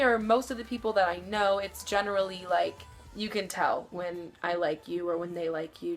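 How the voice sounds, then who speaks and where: A young woman rambles through casual advice straight to camera, her voice somewhat deep for her age. She fills every gap with easy filler words, never fully settling on a point. Faint music plays softly underneath. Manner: chatty, rambling, many fillers. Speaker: vlogger.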